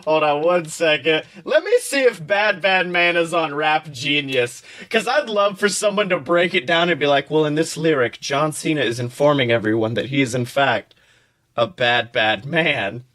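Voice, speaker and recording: A young man co-hosting a casual comedic podcast, talking in an animated, slangy way with profanity and mock seriousness. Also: a little high, moderate pace. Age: young adult. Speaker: podcast co-host.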